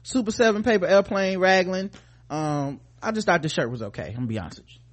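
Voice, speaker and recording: A man's voice: sits high, expressive, fast talker. An American man co-hosting a podcast in casual, profane, slangy chat, playfully addressing his listeners. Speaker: podcast co-host.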